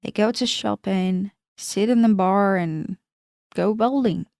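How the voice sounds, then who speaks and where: A woman's voice: medium pitch, slow pace, gravelly, a little mellow, dry recording, clean studio sound. A woman reading the lines of a polite, well-read married man in a storybook narration, with little change from her own voice. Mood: explaining.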